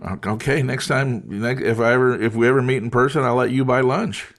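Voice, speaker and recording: A man's voice: mid-range voice, a little fast. A man hosting a podcast interview, talking in a casual, friendly way with small jokes. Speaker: podcast host.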